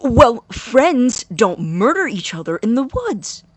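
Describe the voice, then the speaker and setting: A woman playing a nervous, awkward young scientist in a naturalistic audio drama, husky and halting, easily flustered. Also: mid-range voice, sing-song, no room sound, exaggerated voice. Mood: wounded, exasperated. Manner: halting, stammering, indignant when challenged.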